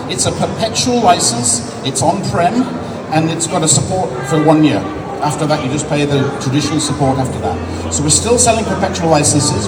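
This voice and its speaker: An English man giving a casual, explanatory talk at a tech conference, with many restarts as he demos software. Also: on the high side, heavy background noise. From England. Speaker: conference presenter. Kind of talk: live tech demo talk. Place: large conference hall. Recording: echoey hall recorded from far off with loud ambience.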